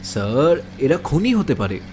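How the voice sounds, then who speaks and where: A man's voice: fairly high pitch, big pitch swings, measured pace. An actor playing an observant, eager junior detective who is respectful to his superior in an audio crime drama. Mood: excited. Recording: noticeable noise behind the voice.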